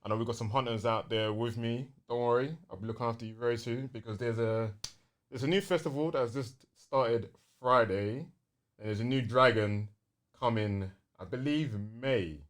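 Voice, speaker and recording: A man's voice: mid-range voice, normal speed. A young man with a London accent chatting casually and enthusiastically on a gaming podcast, using plenty of slang.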